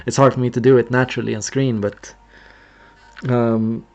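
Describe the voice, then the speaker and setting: A man's voice: medium pitch, little pitch movement, normal speed, darkish tone, muffled recording. A young man from Sweden, casually explaining on a livestream in an earnest way and acting out gestures as he goes. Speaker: streamer.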